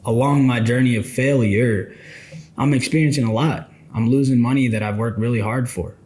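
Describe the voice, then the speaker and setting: A man's voice: mid-range voice, fairly even intonation, normal speed, darkish tone. A man in his twenties talking casually and thoughtfully to the viewer as a trading mentor, addressing them directly. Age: 20s. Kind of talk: casual reflective monologue.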